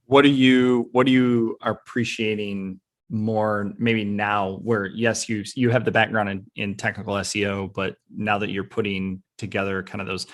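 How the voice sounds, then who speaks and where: A man hosting a podcast, asking long, rambling questions full of repeated words. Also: lowish voice. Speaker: podcast host, company founder. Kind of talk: podcast interviewing.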